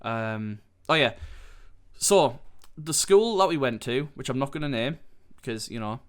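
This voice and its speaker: A man's voice: medium pitch, lively intonation, unhurried, fairly bright tone, clear, resonant tone. Nasal, sweary young British man. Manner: sweary, rambling, confessional. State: coming down with a cold. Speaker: podcast host. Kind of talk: candid solo podcast monologue.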